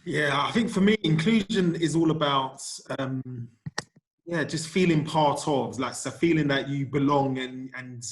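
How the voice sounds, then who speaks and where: A man's voice: fairly high pitch, unhurried. A man working as a lived experience consultant, speaking hesitantly with many fillers and restarts on a video-call panel. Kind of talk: panel discussion.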